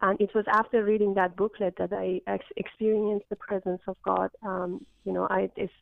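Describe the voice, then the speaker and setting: A woman from Iran with a Persian accent tells her story earnestly, stopping often on fillers. Her voice is gravelly and stays level in pitch. It comes through a tinny, phone-like channel with a dry sound. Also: level tone, normal speed, no room sound. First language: Farsi. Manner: earnest, with many fillers and restarts. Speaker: guest. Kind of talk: interview, personal testimony.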